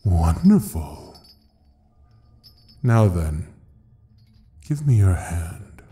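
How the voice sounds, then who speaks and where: An actor playing a suave, menacing devil in an audio roleplay, speaking in a dark velvety voice with courtly, teasing deliberation. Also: male voice, low voice, very animated, extremely slow, full character voice. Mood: courtly, flirtatious. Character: suave, menacing male devil ruling a frozen hell. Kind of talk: ASMR audio roleplay acting.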